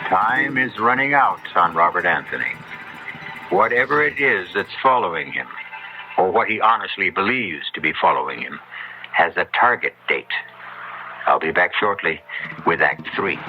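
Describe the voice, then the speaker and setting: A man hosting and narrating a 1970s radio drama in a measured, suspenseful, scripted delivery. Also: low voice, lively intonation, noisy background. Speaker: narrator and host. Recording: dull vintage radio broadcast.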